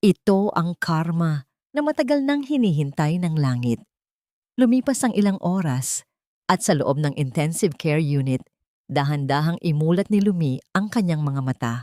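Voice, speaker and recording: Low-voiced woman narrating a drama. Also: moderate pace, no room sound, clean studio sound. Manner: steady dramatic storytelling. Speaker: storyteller.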